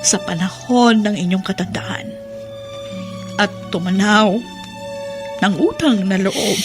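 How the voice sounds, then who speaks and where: An earnest, devoted Filipino daughter. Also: female voice, medium pitch, takes it slowly, over music. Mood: earnest, emotional. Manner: earnest, emotional, reassuring. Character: devoted, tender, earnest grown daughter of an aging father. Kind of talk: radio drama acting.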